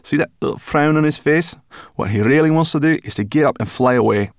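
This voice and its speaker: A brusque little brownie woman with sharp eyes and no patience, pushing everyone along in a storybook reading, as a man puts her on. The voice is pitched well up, gravelly at the edges, and sits dry and close. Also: fairly quick, dry recording, close to an everyday voice. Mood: chatty. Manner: brusque, blunt. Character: impatient sharp-eyed female brownie.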